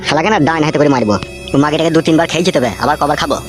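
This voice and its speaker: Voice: mid-range voice, racing, soft background music, lightly acted. A performer voicing a foul-mouthed, swaggering village gang boss in a comedy cartoon, talking coarsely. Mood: swaggering, annoyed.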